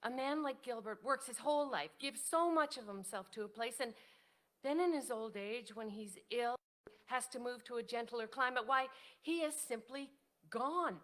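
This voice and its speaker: An actress playing a modest, wistful, devoted widow in a staged reading of a period drama, with genteel, articulate phrasing. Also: female voice, on the high side, moderate pace, a bit bright, close to an everyday voice. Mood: wistful, earnest. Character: elderly devoted widow writer, modest, wistful, self-doubting.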